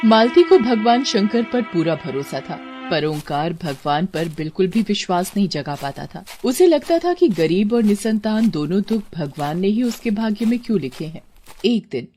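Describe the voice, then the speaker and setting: A woman's voice: medium pitch, big pitch swings, moderate pace, warm tone. A woman giving scripted narration of a moral story as the storyteller. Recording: prominent music under the voice.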